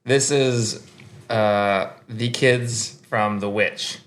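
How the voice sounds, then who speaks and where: A man's voice: fairly low pitch, steady tone, very slow, a bit bright. Man co-host with dry jokes. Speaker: podcast co-host. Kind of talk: casual chat.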